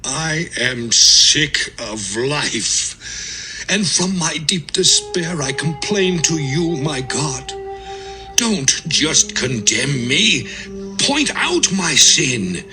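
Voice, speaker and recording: An anguished, pleading sufferer, high-pitched. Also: male voice, very animated, very slow, over music, full character voice. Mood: despairing. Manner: impassioned, lamenting, dramatic delivery. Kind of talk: dramatised Bible audio acting.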